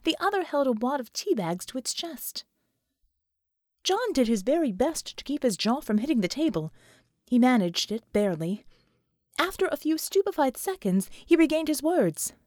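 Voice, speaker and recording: Female narrator for fiction audiobook: lively melodic range, dry studio-like sound, dramatic scripted read. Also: high-pitched, normal speed, dry recording.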